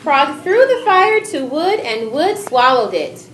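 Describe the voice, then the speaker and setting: A woman's voice: high voice, big pitch swings, measured pace. A woman reading aloud as the narrator and presenter of a stage performance. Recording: echoey room with music over it.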